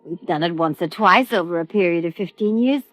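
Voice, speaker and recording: An actress playing a defensive, impatient widow in an American radio drama, speaking in a clipped, indignant manner. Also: female voice, on the low side, fairly quick, dry recording, lightly acted. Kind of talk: 1950s radio drama acting. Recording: dull old radio recording.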